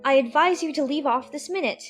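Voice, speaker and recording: A woman acts out a curious, earnest little girl from a storybook, talking to herself. The voice is high and girlish, with music playing behind it. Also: a little fast, close to an everyday voice. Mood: sharp self-scolding. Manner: thinking aloud to herself. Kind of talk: dramatized audiobook acting.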